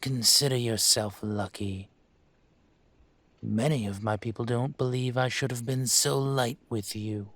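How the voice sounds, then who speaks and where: A man playing a suave, menacing dragon mafia boss in an audio roleplay, measured and condescending with a teasing edge. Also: medium pitch, fairly even intonation, fairly bright tone, no echo. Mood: stern, condescending. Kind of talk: scripted audio roleplay, intimate.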